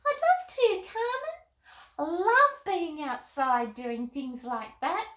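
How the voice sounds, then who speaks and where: A woman voicing an excitable, sweet nine-year-old storybook girl with a high, girlish voice and eager exclamations. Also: slow, roomy sound, exaggerated voice. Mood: cheerful.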